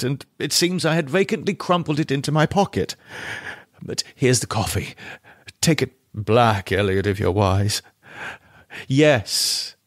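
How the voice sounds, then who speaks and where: A man's voice: medium pitch, dry recording, clean studio sound. A man narrating a first-person horror story in a theatrical, suspenseful audiobook manner. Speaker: podcast narrator reading horror fiction.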